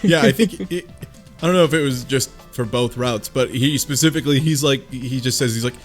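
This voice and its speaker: A man's voice: medium pitch, a little fast, soft background music. A man co-hosting a casual podcast, talking in a loose, joking way and swearing freely. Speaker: podcast co-host. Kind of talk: casual chat recapping a visual novel.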